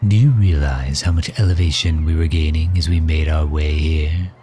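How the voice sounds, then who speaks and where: A man playing a courtly, overprotective villain who fusses gently and formally in an ASMR roleplay drama. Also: very deep voice, normal speed, slight background noise. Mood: proud, expansive. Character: doting, overprotective male villain caring for injured hero.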